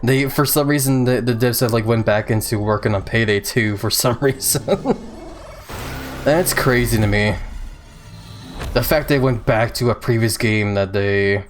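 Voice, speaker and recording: A man streaming a video game, chatting casually with his viewers as he plays, with chuckling asides and a slightly rough throat. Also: mid-range voice, steady tone, a little slow. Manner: chatty, reads and answers chat while playing. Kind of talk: casual let's-play commentary. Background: faint game music.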